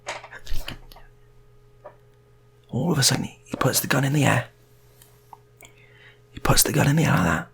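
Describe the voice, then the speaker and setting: A man's voice: mid-range voice, expressive, fairly quick, creaky, long pauses, fairly bright tone, slightly rough. A man telling an improvised story in a casual, rambling way, often repeating his phrases.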